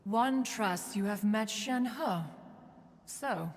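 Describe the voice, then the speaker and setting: A woman's voice: on the high side, extremely slow, background noise. A woman voicing a dignified, haughty immortal crane spirit in a video game, speaking in a stately, formal manner with a reverb effect. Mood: stately, composed. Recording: long reverberant tail on voice.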